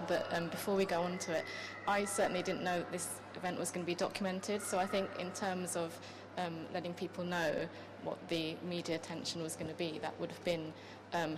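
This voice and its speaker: A woman from Japan speaking politely and tentatively about her own experience in a group discussion, often qualifying herself. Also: on the low side. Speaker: event participant, mother. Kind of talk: personal remarks in a group discussion. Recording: clear background noise, live event room.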